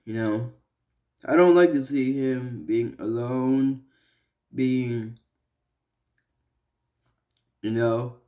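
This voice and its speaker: A young man from Malaysia speaks slowly in a personal vlog. He talks earnestly, stopping and restarting often, padding his thoughts with fillers. His voice sits at an average pitch. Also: dark tone. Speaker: vlogger.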